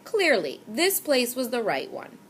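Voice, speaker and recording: Expressive woman reading a children's book. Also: on the high side, a little slow, slight background noise. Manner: expressive, animated storytelling. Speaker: reader. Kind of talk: reading a children's novel aloud.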